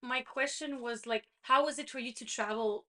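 A woman's voice: on the high side, normal speed, sharp tone. A young woman from Quebec with a Quebec French accent, French being her first language, co-hosting a podcast in a playful, giggly way. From Quebec, Canada. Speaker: podcast co-host. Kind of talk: casual chat.

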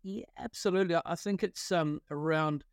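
A man in his forties with a New Zealand accent, answering interview questions in a halting way, with many fillers and restarts. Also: a little high, no echo, clean studio sound. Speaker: guest, partnership consultant. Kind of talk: podcast interview.

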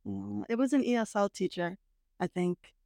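A woman talking casually with her brother as a podcast guest, reflective and hesitant, with frequent pauses and restarts. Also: mid-range voice, mellow, no room sound, studio quality. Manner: hesitant, reflective, many fillers and restarts, occasional laughs. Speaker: guest, host's sister. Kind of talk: casual conversation. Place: parents' house.

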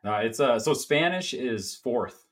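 A man's voice: medium pitch, animated, unhurried, a bit bright. A man chiming in as a podcast producer with casual, wry remarks. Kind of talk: casual chat.

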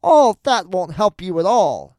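Man putting on an old wicked witch, high-pitched. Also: sing-song, measured pace, dark tone, no room sound, studio quality. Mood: impatient, menacing. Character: very old wicked witch, female, sly, mocking, bossy. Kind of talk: storybook fairy tale character voice.

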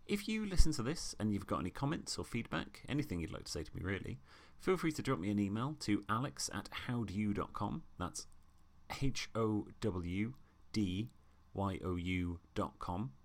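A man hosting a casual podcast interview, chatty and quick to laugh. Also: on the low side. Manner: chatty, laughs easily, lively delivery. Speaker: podcast host.

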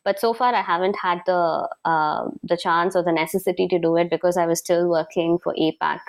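Lowish-voiced young woman from South India. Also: steady tone. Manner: earnest, quick explanations with many fillers. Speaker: interview guest. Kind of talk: casual interview chat.

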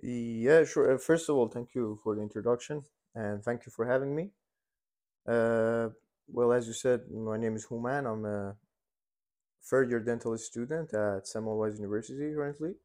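A man's voice: mid-range voice, normal speed, darkish tone. A young man in his twenties from Iran, a dental student answering interview questions in a hesitant way, with many fillers and restarts. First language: Persian. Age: 20s.

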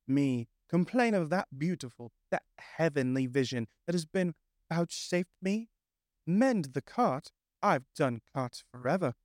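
A man voicing a vain, excitable male toad gentleman, speaking in a dreamy, drawn-out way. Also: on the high side, no echo, studio quality, pitched above the performer's own voice. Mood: dreamy rapture.

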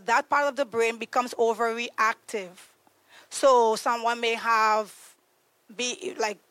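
Earnest woman guest, slightly high voice. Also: a bit bright, dry recording, rough recording. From Trinidad and Tobago. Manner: earnest, searching for words, frequent ums and restarts. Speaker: podcast guest, trauma coach. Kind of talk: conversational explanation.

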